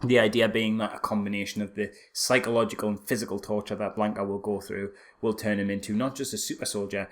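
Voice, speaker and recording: A man's voice: lowish voice, fairly even intonation, fast, few pauses. A man co-hosting a casual film review chat, speaking in a sarcastic, incredulous way with frequent asides. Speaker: podcast co-host.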